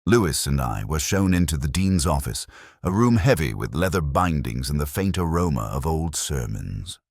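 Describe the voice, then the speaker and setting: Very deep-voiced male mystery narrator. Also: sing-song, no echo, studio quality. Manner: measured, literary, solemn storytelling. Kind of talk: scripted first-person detective story narration.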